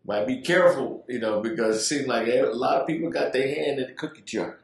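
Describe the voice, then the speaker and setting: A man's voice: mid-range voice, a little fast, creaky, heavy reverb. A man co-hosting a podcast, chiming in with brief cautionary remarks. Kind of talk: podcast discussion.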